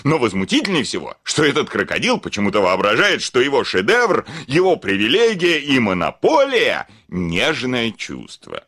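An actor playing a hot-tempered, blustering landowner scornful of women in a theatrical, ranting classic Russian radio play style. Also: male voice, medium pitch, huge pitch swings, normal speed, few pauses, full character voice. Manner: theatrical, ranting, swinging from mutters to shouts. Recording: studio radio play with music behind.

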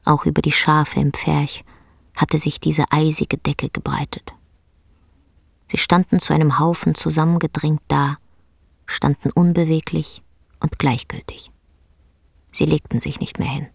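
A woman narrating a literary radio drama as a sober, measured storyteller. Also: low voice, level tone, creaky, slightly rough, no echo, clean studio sound, heavily acted.

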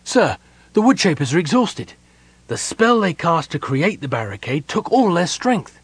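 A man's voice: a little high, big pitch swings, moderate pace, breathy edge, no room sound, voice pushed up. A man acting an alarmed elven soldier who reports urgently to his commander in an audiobook. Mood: anxious. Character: alarmed young male elven soldier messenger.

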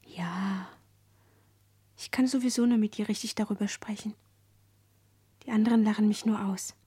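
An actress in a radio play playing a trusting young girl who confides secretively in a breathy whisper. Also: medium pitch, fairly even intonation, normal speed, warm tone, dry recording. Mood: secretive, confiding. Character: furtive, trusting young girl planning to disappear. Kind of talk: German radio play acting.

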